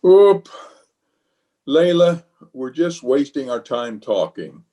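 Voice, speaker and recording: A man's voice: mid-range voice, very animated, slow, dark tone. A man playing a flustered, blustering middle-aged bachelor in an old-time radio comedy, hemming and sheepish. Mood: flustered, protesting.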